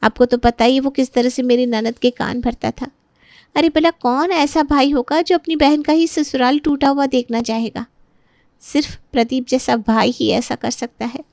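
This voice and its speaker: A woman's voice: high-pitched, fairly even intonation, normal speed, gravelly, darkish tone, no room sound, studio quality. A woman playing a young pregnant daughter-in-law, gossiping and resentful, in a family story drama. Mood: gossiping, aggrieved.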